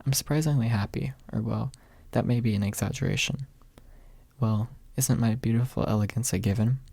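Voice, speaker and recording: A man's voice: medium pitch, brisk, vocal fry, dark tone, husky, no echo. A man voicing an elegant, self-satisfied young knight with a formal, pompous manner, in an ASMR audiobook. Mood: pleased, smug.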